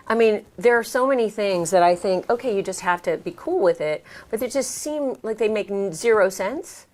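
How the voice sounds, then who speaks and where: An American woman chatting casually as an interview guest, telling her experiences in an animated, exclamatory way. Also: mid-range voice, normal speed. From United States. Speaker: interview guest, American who moved to France. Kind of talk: casual conversational storytelling.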